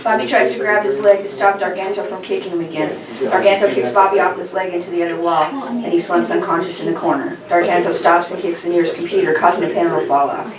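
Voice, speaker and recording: A woman's voice: on the low side, fairly even intonation, quick pace, crowd noise. A woman reading scripted stage directions as narrator at a table read. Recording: echoey room, distant mic, people around.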